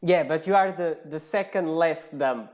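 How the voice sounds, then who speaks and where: A man's voice: a little high, normal speed, darkish tone. A man from Portugal, a native Portuguese speaker, hosting a casual group talk in a hesitant, self-deprecating manner. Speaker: host of an online talk. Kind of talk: casual moderated group chat.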